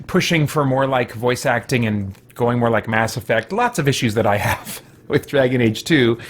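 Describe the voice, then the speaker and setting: Rambling male game streamer, background noise. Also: mid-range voice. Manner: rambling, self-correcting, self-deprecating. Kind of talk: casual livestream gameplay commentary.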